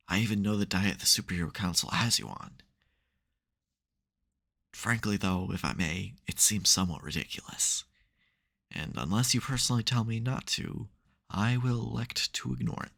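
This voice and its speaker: A man playing an exasperated but secretly caring supervillain in an intimate ASMR audio roleplay, wry and gravelly. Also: fairly low pitch, pushed and bright, heavily acted. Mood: concerned, bossy.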